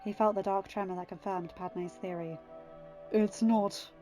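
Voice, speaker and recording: A woman's voice: lowish voice, steady tone, fairly quick, a little mellow, faint music behind. A woman reading scripted fan fiction narration as an audiobook reader.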